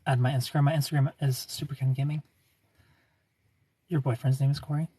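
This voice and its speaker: A man's voice: medium pitch, level tone, fairly quick, warm tone, dry recording. Laid-back man chatting on a livestream. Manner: smooth, laid-back, greets viewers by name. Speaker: livestream host. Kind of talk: casual livestream chat reading comments.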